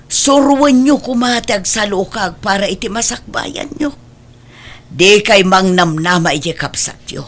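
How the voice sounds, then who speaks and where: A woman playing a caring but firm mother of grown children in an Ilocano family radio drama, speaking earnestly and gently admonishing. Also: mid-range voice.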